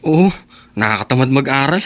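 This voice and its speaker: An actor playing a lazy, pushy high school boyfriend in a Filipino radio drama, with a Filipino accent. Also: male voice, expressive, a little fast, fairly bright tone, no room sound, close to an everyday voice. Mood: sulky.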